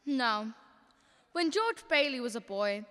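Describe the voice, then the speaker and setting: Commanding, exasperated chief angel, played by a young woman: high, bright, emphatic, long heavenly reverb. Radio drama. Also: animated, takes it slowly. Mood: instructive. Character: authoritative, exasperated superintendent of angels briefing a trainee. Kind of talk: stage-like radio drama acting. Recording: long hall-like reverb effect.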